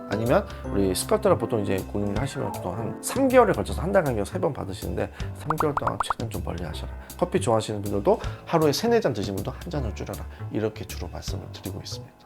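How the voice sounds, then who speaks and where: A skin doctor talks viewers through a cosmetic treatment at a quick clip, his voice carrying a gravelly rasp. He explains steadily and gives practical advice. Music plays clearly behind him. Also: mid-range voice, big pitch swings. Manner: explanatory, advising with examples. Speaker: dermatologist.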